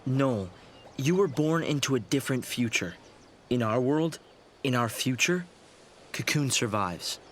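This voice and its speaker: An actor playing a thoughtful, idealistic young researcher and leader in a video game, explaining in a measured way. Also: male voice, mid-range voice, expressive, slight background noise, lightly acted. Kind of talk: video game cutscene acting.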